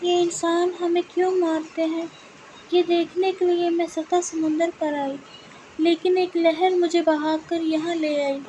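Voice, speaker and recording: A woman's voice: extremely high pitch, flat delivery, a little slow, dark tone, slight background noise, pitched above the performer's own voice, poor audio. A woman voicing a timid, earnest young mermaid in a children's storybook tale. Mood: earnest, explaining.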